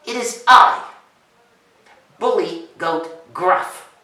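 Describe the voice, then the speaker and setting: A man's voice: high-pitched, drawn-out words, pushed and bright, pitched above the performer's own voice, heavily acted. A man voicing a mean, boastful bully billy goat from a children's storybook, taunting and snarling. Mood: challenging. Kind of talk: children's storybook read aloud. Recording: roomy, distant microphone.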